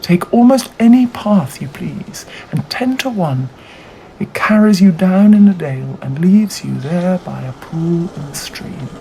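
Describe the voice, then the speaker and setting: A narrator reading a classic novel aloud in a measured, deliberate way with dramatic phrasing. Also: medium pitch, warm tone, poor audio. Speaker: audiobook reader. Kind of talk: scripted literary narration. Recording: audible background noise.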